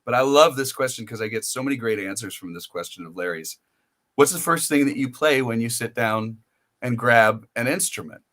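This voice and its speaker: Bright-voiced American man hosting. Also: mid-range voice, brisk. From United States. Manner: rambling, storytelling with many restarts. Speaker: podcast host. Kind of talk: casual interview chat.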